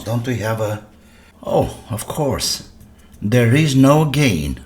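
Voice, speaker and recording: A man giving casual hands-on commentary as a repair video host, talking to himself in short phrases and asking and answering his own questions. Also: deep voice. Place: indoor workbench. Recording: clear background noise with workbench clatter.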